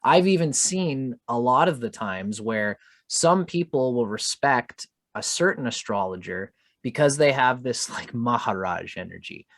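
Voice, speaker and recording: A man's voice: medium pitch, expressive, a little slow, hardly any pauses, dry recording. A male interview host with a mid-range voice and a dry, close sound. He muses aloud in a reflective, agreeable manner and often offers his own ideas. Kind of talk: casual interview conversation.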